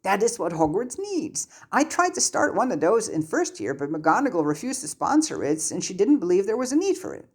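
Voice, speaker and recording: A woman's voice: mid-range voice, sing-song, fairly quick, few pauses, slightly rough, voice pushed up. A woman voicing an earnest, bossy, talkative teenage schoolgirl know-it-all with an urgent, emphatic manner in a story reading. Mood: fervent.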